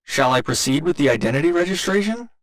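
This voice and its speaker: A man voicing a gentle robot boyfriend in an ASMR roleplay, with formal, clinical phrasing delivered tenderly and a little hesitantly. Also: fairly high pitch, lively intonation, fast talker. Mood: clinical, measured. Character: gentle male robot boyfriend learning to feel love.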